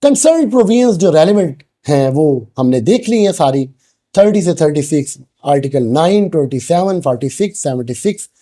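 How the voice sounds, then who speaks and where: A man's voice: fairly high pitch, warm tone. A man with a Pakistani accent lecturing didactically, explaining point by point and mixing in English legal terms. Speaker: law lecturer.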